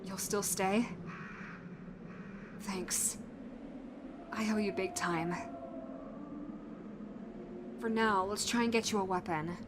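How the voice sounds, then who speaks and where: A woman playing a determined, protective young fighter in a zombie outbreak audio roleplay, speaking earnestly and haltingly to the listener. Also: mid-range voice, steady tone, background noise, natural voice. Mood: surprised, concerned, then grateful, resolute.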